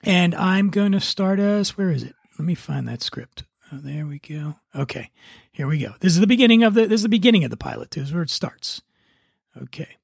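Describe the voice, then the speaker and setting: A man's voice: high-pitched, animated, brisk, creaky, slightly rough, dry recording, clean studio sound. A man hosting a podcast, talking casually and narrating a script. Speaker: podcast host and writer.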